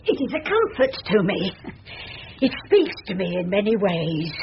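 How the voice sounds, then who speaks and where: A woman's voice: mid-range voice, big pitch swings, normal speed. An actress playing a kindly, motherly, selfless woman in an earnest, tender style of classic radio drama. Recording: dull lo-fi radio recording with hiss.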